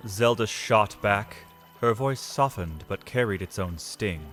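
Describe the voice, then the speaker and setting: A man delivering dramatic, measured literary narration as the storyteller of a scripted fantasy tale. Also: on the low side, expressive, a bit bright. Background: music.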